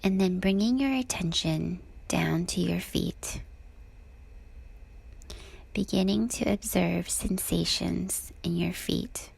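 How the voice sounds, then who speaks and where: Really slow lady doing a guided body scan, soft n calm, super creaky voice. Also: fairly low pitch. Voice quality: soft, breathy, husky. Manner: calm, gentle, unhurried with long pauses. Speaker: meditation guide.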